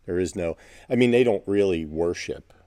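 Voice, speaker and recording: A man's voice: low-pitched, moderate pace, mellow, dry recording, studio quality. A man co-hosting a podcast, chatting in an agreeable, thoughtful way. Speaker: podcast co-host. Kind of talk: casual podcast chat.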